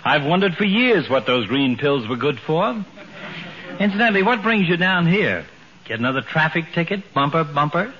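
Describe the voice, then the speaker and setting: Wry doctor needling his buddy, swingy lively voice, 1940s radio comedy, muffled old recording. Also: fairly high pitch, moderate pace. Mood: teasing. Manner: dry, mock-solemn needling. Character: wry, teasing doctor, the husband's old pal. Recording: dull old radio recording, faint noise.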